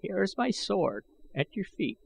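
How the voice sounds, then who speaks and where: A man voicing a bent, eager old man with excitable, old-fashioned pleading speech, as an audiobook character. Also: high voice, measured pace, gravelly, slightly rough, pitched above the performer's own voice, heavily acted, low-quality recording. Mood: earnest, conciliatory. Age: older.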